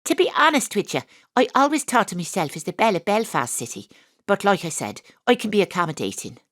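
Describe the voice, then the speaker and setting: A woman's voice: mid-range voice, big pitch swings, fast talker, fairly bright tone, no room sound, studio quality, pitched above the performer's own voice. Woman putting on a flirty Irish lass. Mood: flirty, coy. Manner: coy, teasing. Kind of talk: audio drama acting.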